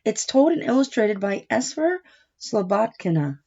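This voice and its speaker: A woman giving a read-aloud lesson for young children as a teacher, speaking in short deliberate phrases and asking questions as she goes. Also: a little high, vocal fry, husky. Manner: instructive, asking questions and answering them; deliberate short phrases, expressive when reading. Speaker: teacher reading a picture book aloud. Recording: dull, lo-fi sound.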